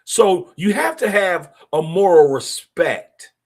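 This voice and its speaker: A man's voice: high voice, very animated, slow, a little mellow. A middle-aged man, hosting a podcast and speaking bluntly in a streetwise, opinionated way. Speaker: podcast host. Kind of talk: casual monologue podcast.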